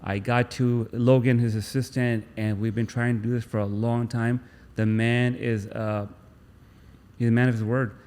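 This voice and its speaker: A man's voice: mid-range voice, flat delivery, normal speed, darkish tone, long reverb tail. A man hosting a podcast, effusive and eager, repeating himself as he thanks his guest and pushes follow-up questions. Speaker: podcast host. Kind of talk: casual interview.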